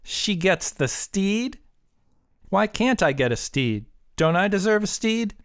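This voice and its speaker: A man's voice: high voice, sing-song, slow pace, fairly bright tone, clean tone, voice pushed up, heavily acted. A man voicing an anxious, incredulous young woman who pleads and protests with exasperation in an audiobook story. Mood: exasperated protest.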